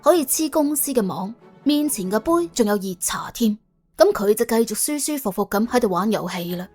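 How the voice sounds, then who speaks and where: Lively Cantonese woman storyteller. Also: on the high side, normal speed, husky, faint music behind. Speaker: audiobook narrator. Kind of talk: colloquial comic crime story narration.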